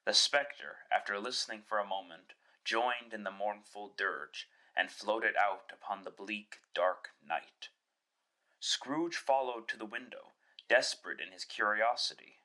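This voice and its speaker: A man narrating a classic story in a scripted, dramatic style with measured, literary delivery. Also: fairly low pitch, sharp tone.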